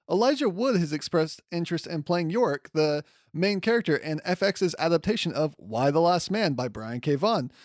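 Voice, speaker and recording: Enthusiastic man podcasting, slightly high voice. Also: no room sound, clean studio sound. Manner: enthusiastic, chatty, informal. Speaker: podcast host. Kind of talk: casual solo news commentary.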